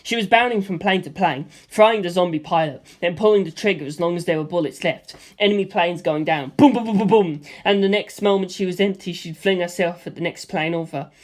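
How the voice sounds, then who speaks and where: A man narrating a first-person action story as an amateur audiobook reader, in an animated style. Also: high-pitched, brisk, hardly any pauses.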